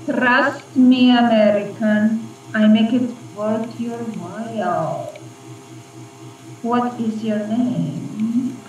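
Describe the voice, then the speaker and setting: Echoey, slow, seductive Russian spy ghost. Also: female voice, a little high, steady tone. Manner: purring, taunting. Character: seductive, scheming female Russian agent ghost. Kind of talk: radio play acting. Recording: dull, echoey, distant, slightly noisy recording.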